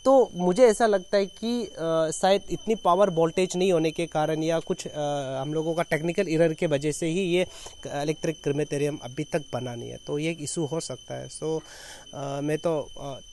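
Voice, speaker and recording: A man's voice: on the high side, darkish tone, a little hiss or hum. A man in his thirties with a Northeast Indian accent from Arunachal, giving an earnest press statement outdoors and repeating phrases in lists for emphasis. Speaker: foundation chairman, activist. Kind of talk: press statement, spoken off the cuff. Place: outdoors in an open clearing with trees. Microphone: handheld reporters' microphones held at chest height.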